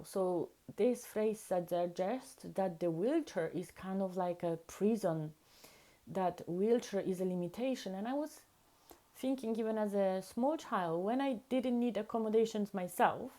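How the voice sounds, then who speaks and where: A woman whose first language is Polish, with the accent to match, reflects to camera in an unhurried, deliberate way. Her voice sits in a mid range with a warm, mellow color. She stresses key ideas by repeating them. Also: mid-range voice. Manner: slow, earnest, emphatic with repeated words. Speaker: vlogger.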